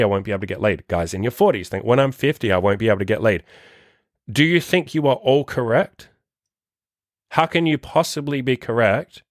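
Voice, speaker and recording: A man's voice: mid-range voice, no room sound, clean studio sound. A man in his thirties hosting a dating podcast, talking bluntly and emphatically with lots of swearing in a solo motivational monologue. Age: 30s. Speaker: dating coach podcast host.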